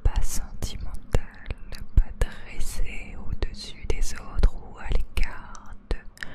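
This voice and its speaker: A woman reading poetry as ASMR in a breathy whisper, with deliberate, expressive phrasing and long pauses.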